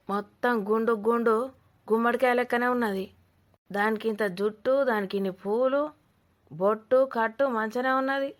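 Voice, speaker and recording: A woman's voice: a little high, lightly acted. A woman voicing a suspicious, scolding old village woman in a cartoon comedy, with a Telangana accent, blunt and mocking. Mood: grumbling, mocking. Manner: blunt, mocking, rambling accusations.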